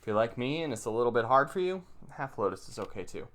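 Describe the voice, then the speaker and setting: A man giving informal spoken instruction as a video host, plain-spoken and halting, repeating phrases as he explains and reads passages aloud. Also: medium pitch, big pitch swings, fairly bright tone. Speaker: meditation teacher, video host. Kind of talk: informal teaching commentary with read-aloud passages.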